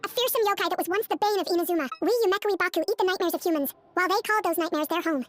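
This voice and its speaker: A woman playing a composed, analytical dream-eater therapist in a game, speaking in a measured, articulate and firm way. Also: very high voice, faint music behind. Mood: grave.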